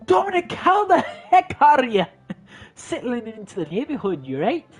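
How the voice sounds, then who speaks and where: Casting: over-friendly, bragging game dad acted by a man, hearty delivery with big swings in pitch. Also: wildly swooping pitch, normal speed, slightly rough, full character voice. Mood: hearty, boastful. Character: hearty, chummy, boastful suburban dad.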